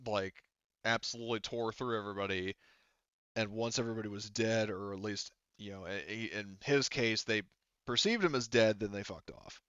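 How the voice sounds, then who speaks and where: Male game master, clear bright voice, improvised tabletop narration, emphatic but halting, searching delivery. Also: fairly low pitch, no echo, dull audio. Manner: halting, discursive, emphatic storytelling.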